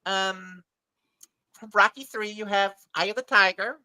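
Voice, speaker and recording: A woman's voice: medium pitch, fairly even intonation, unhurried, bright, forceful tone. A middle-aged woman losing her voice, chatting eagerly as a podcast panelist and often restarting her words. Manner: enthusiastic, repeats and restarts words. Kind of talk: casual chat.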